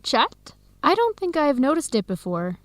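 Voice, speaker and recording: A woman's voice: high voice, big pitch swings, moderate pace, no room sound. An actress playing a friendly, curious, earnest young woman researcher in an educational radio drama, chatty and inquisitive.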